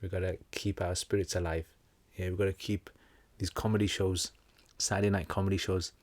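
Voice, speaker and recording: A man with a fairly low voice hosts a livestream, answering viewer comments in a relaxed, joking, meandering way. The sound is dry and close, with no room around it. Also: fairly quick, dry recording. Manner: jokey, rambling, with slangy asides. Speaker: Islamic teacher hosting a livestream Q&A.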